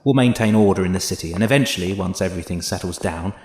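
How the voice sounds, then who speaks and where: A man's voice: deep voice, fast, darkish tone, reverberant, slight background noise, lightly acted. A man playing a bossy, impatient, sarcastic royal official in an audio drama. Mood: dismissive. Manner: withering, sarcastic.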